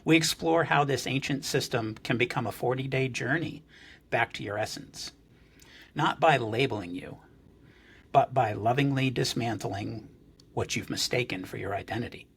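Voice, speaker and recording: Husky, gravelly-voiced male podcast host. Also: medium pitch, moderate pace, a bit bright. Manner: earnest, with frequent fillers and restarts. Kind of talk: interview podcast.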